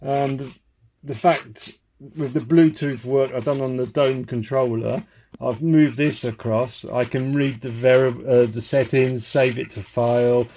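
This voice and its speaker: Slow-talking man demonstrating his gadget. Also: mid-range voice, steady tone, warm tone. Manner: halting, thinking aloud. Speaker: hobbyist demonstrating a homemade device. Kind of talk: casual rambling tech demonstration.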